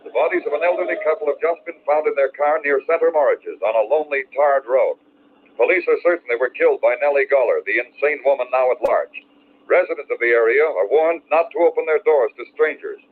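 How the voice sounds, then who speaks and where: Casting: man playing 1940s radio newsman, rapid formal bulletins, noisy phone-like old recording. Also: fairly high pitch, close to an everyday voice. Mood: grave urgency. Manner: brisk, formal announcing. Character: urgent male radio newscaster reading crime bulletins. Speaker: radio newscaster.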